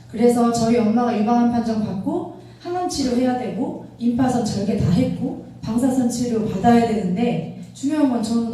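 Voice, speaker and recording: A woman's voice: a little high, warm tone. A woman in her thirties giving a motivational product lecture in a conversational way, often checking in with her audience through rhetorical questions. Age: 30s. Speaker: product trainer and speaker for a direct-sales business. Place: echoey indoor lecture room with an audience. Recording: very echoey room, distant mic, faint noise.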